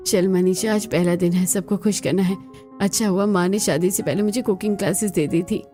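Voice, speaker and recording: A woman's voice: medium pitch, steady tone, fairly quick, mellow, soft background music, natural voice. A woman voicing an anxious, dutiful young bride in a family cartoon, earnest and polite. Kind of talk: Hindi family cartoon voice acting.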